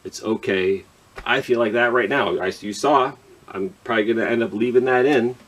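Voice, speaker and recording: A man's voice: medium pitch, moderate pace. A man chatting casually to young children as a storytime host, informal and self-deprecating with rambling asides.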